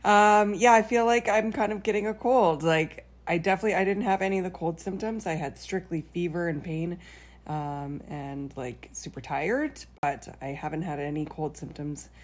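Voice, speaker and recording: A woman's voice: on the low side, moderate pace. A young woman vlogging casually about recovering from an illness, with lots of fillers and likes. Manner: chatty, many fillers and likes. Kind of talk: casual video diary.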